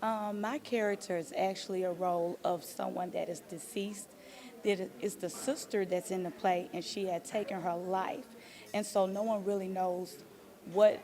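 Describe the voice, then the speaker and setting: A woman speaks as an interviewed stage actor, reflective and earnest. Her mid-range voice carries clear vocal fry as she offers encouragement. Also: medium pitch, darkish tone.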